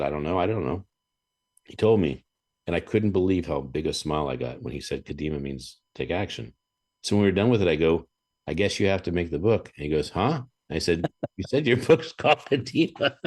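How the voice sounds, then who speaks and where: A man hosting a podcast, chatting casually and telling animated stories with chuckles and frequent throat-clearing. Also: a bass voice. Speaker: podcast host. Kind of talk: casual interview chat.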